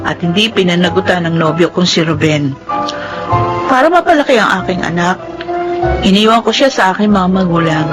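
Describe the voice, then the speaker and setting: A woman with a Filipino accent acting a chatty young housemaid and single mother in a radio drama, expressive and emotive. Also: lowish voice, lightly acted. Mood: wistful, narrating. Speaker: radio drama lead actress. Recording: music bed under the voice.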